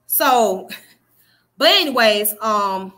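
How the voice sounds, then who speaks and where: A woman's voice: mid-range voice, animated, extremely slow, sharp tone. A woman in her thirties with a Southern US accent, giving an emphatic casual livestream history talk to her viewers and checking in with them often. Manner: emphatic, preachy, keeps checking in with "okay?". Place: small room indoors. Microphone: webcam close up.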